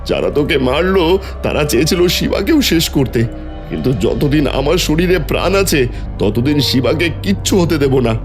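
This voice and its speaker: A man's voice: fairly high pitch, expressive, moderate pace, soft background music, pitched above the performer's own voice, natural voice. A man voicing an anxious, devoted uncle who guards an orphaned child in an audio story, emotional and protective. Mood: grieving resolve.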